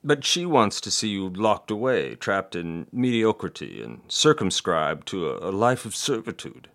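A man acts out a doting older father for an audio drama. His low voice rises and falls dramatically. He speaks in halting, earnest phrases, stammering as he tries to persuade. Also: big pitch swings, creaky, a bit bright, exaggerated voice. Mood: impassioned, earnest.

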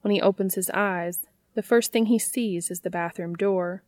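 A woman's voice: medium pitch, fairly even intonation, normal speed, darkish tone, no room sound. A woman narrating a piece of written fiction in the style of an audiobook.

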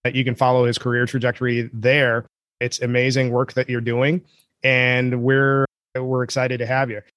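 A man's voice: medium pitch, completely flat delivery, normal speed, fairly bright tone, dry recording. Enthusiastic man hosting a podcast. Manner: enthusiastic, jokey, self-described nerd. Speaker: podcast host.

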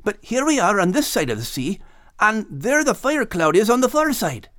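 A plucky Scottish dog from an animal storybook, speaking in folksy dialect. His voice is pitched high and swings with animation, earnest throughout, in a close dry recording. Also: sing-song, normal speed, bright, forceful tone, no echo, heavily acted. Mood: pleading, perplexed. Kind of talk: audiobook character acting.

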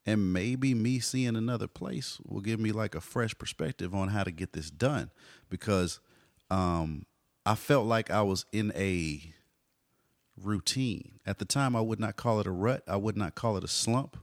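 A man in his forties, a hip hop artist telling stories in a relaxed interview, dropping "you know" often. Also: on the low side, a little slow, no echo, clean studio sound. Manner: laid-back storyteller, frequent "you know". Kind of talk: casual conversational interview.

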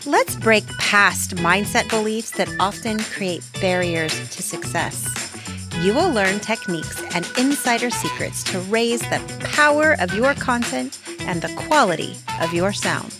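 A woman's voice: medium pitch, lively intonation, a little slow, music in the background. A woman co-hosting a podcast as a coach, enthusiastic and effusive as she introduces and praises her guest. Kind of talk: upbeat podcast interview.

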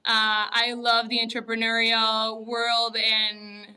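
A woman with a Uruguayan Spanish accent chats casually as an interview guest. Her voice is fairly high and strikingly bright, with a clean, resonant sound. She is a native Spanish speaker. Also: monotone, slow pace, smooth, poor audio. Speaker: podcast guest, entrepreneur.

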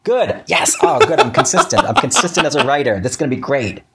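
A man being interviewed as a writer, chatting casually in a wry, halting way with self-interruptions and acted-out asides. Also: medium pitch, wildly swooping pitch, bright, forceful tone, breathy edge.

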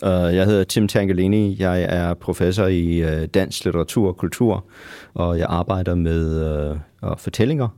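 A man who speaks English natively, a professor answering interview questions with many halting fillers. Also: low-pitched, warm tone. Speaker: professor guest.

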